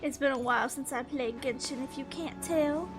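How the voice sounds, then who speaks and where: A woman's voice: high-pitched, moderate pace. A woman giving casual, chatty gameplay commentary, playfully talking to herself as she plays. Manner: chatty, playful self-talk. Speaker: gaming streamer. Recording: game music behind the voice. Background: loud video game music.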